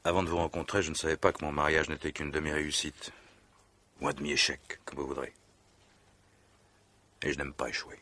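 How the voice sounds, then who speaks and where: An actor playing a married man courting hesitantly, coaxing in halting phrases, in radio drama style. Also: extremely low pitch, level tone, sharp tone, exaggerated voice. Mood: wistful, confiding. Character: married man, hesitant suitor showing off his country house. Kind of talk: 1950s French radio drama acting.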